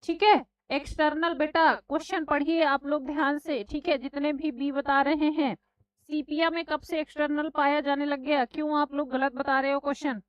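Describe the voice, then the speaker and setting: A woman teaching a live online exam class, slightly hoarse from a sore throat, scolding her students and calling them beta and bhai. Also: high voice, level tone, moderate pace, dry recording. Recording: dry, slightly dull online class audio.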